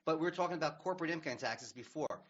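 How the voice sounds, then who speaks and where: This is a man with a somewhat high voice on a dull-sounding radio stream. He talks politics as a panelist, argumentative and sarcastic, pressing his opinions in a conversational way. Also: expressive, very fast, a bit bright. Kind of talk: opinionated talk radio discussion.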